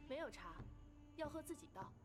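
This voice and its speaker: A woman voicing an imperious, sharp-tempered clan matriarch in an animated fantasy drama, haughty and scornful. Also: high-pitched, a little fast, soft background music. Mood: haughty, cold.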